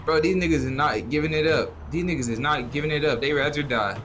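A man's voice: a little high, fast talker. An American man bantering as a player in a roleplay game, brash, joking and full of slang and profanity.

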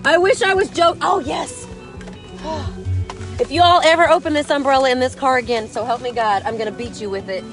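A woman's voice: sits high, brisk, soft background music. A woman in her thirties vlogging from inside a truck, flustered and laughing in exasperation, recorded on a phone held at arm's length. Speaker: mother vlogging. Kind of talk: casual selfie vlog. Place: inside a parked truck, under an open umbrella.

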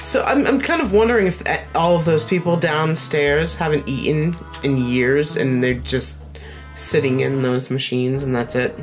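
A woman's voice: sits low, normal speed, faint music behind. A woman co-hosting a gaming show, bantering casually and sardonically as she watches the game. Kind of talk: casual banter over video game play.

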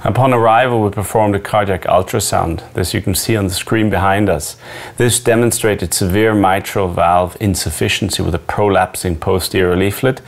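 A middle-aged man giving a measured, scripted medical explanation as a surgeon and narrator. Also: low voice, fairly even intonation, vocal fry.

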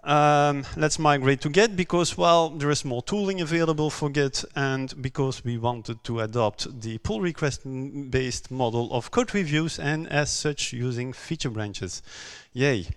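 A man from Belgium, for whom English is not his first language, giving a conference talk on a stage with many pauses, "um"s and repeated words. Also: medium pitch, clean tone. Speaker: conference speaker.

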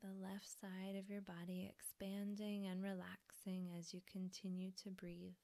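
A woman softly guiding a yoga relaxation meditation in a breathy, soothing manner with pauses. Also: medium pitch, monotone. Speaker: yoga nidra teacher.

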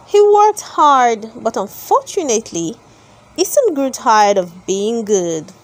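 A woman narrates a children's story in a high-pitched voice. She takes her time, at a markedly slow pace. Her delivery is expressive and very lively in pitch, leaning on key words for drama.

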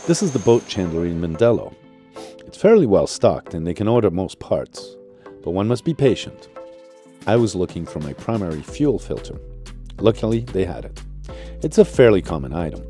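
Deep, dark-voiced man narrating. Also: big pitch swings, normal speed, faint music behind. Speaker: vlog host and narrator. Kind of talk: voice-over narration.

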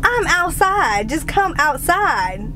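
A woman's voice: high-pitched, sing-song, slow. A woman in her thirties with a Texan accent, chatting casually and playfully in slang inside a car. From Texas, United States. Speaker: vlogger. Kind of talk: casual chat. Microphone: camera close by in the car. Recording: car interior, loud music behind.

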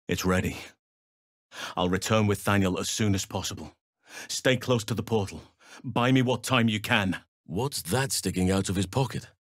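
An actor playing an earnest, battle-seasoned druid and protector of nature in a fantasy video game, speaking in a measured, solemn manner with formal, old-fashioned phrasing. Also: male voice, mid-range voice, sharp tone, no room sound, clean studio sound. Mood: low, uneasy.

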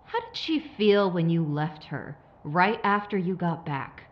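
A woman's voice: lowish voice, expressive, measured pace, lo-fi sound. A woman playing a sly, menacing corporate operative in an audio drama, taunting with mock sympathy. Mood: taunting menace. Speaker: villain.

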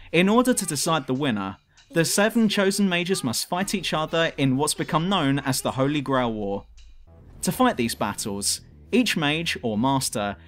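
A man's voice: a little high, fairly bright tone. A man reading a scripted review narration in a dramatic, emphatic way, as the presenter of a countdown video. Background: music.

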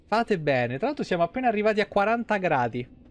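A man's voice: sits high, big pitch swings, fast. A man in his thirties giving casual, chatty live gameplay commentary in an informal way. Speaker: game streamer. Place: small indoor room.

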